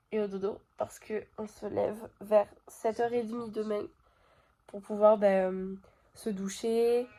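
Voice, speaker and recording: Stuffy-nosed young vlogger woman with a mellow voice. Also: mid-range voice. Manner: chatty, talks straight to viewers with many fillers. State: stuffy nose from a cold. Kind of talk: casual chat to camera. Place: bedroom at home.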